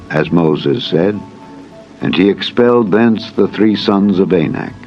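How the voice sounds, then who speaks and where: Solemn low-voiced male scripture narrator. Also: dull audio. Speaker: Bible narrator. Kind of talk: dramatized scripture reading. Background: music.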